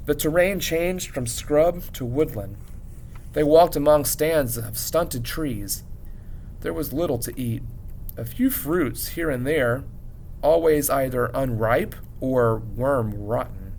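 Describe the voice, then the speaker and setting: A man reading a story aloud as a teacher would, in an expressive, measured narration with dramatic pauses. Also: mid-range voice, a little hiss or hum, rough recording.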